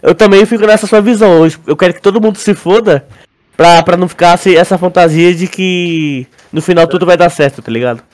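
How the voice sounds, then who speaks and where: Young Brazilian male co-host, higher-pitched voice, informal and halting, slangy casual chat. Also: darkish tone. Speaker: podcast co-host.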